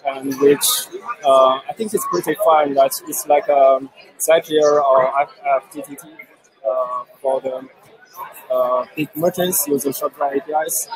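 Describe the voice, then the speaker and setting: A man from China, Chinese his first language, answering interview questions in halting English with many pauses. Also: medium pitch, fairly even intonation, poor audio. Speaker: software developer guest. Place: busy event with crowd.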